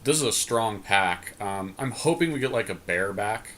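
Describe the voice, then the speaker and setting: A man's voice: on the low side, expressive, normal speed, sharp tone. A man co-hosting a podcast, casually thinking aloud as he comments on a card game draft. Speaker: podcast co-host. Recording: clearly noisy background.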